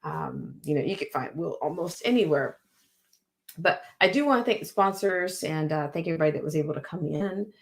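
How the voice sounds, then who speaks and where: Low gravelly woman hosting a livestream. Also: a little fast. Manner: loose, rambling thanks with fillers. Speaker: livestream host. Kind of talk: casual live stream sign-off.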